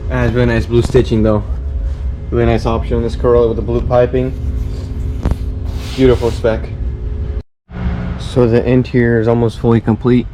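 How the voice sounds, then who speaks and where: A man vlogging while rebuilding his car, his voice raspy from feeling unwell, chatty and encouraging with halting phrasing. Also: mid-range voice, level tone, music in the background, low-quality recording. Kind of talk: casual talk while working on a car. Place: outdoors and in a garage by the car.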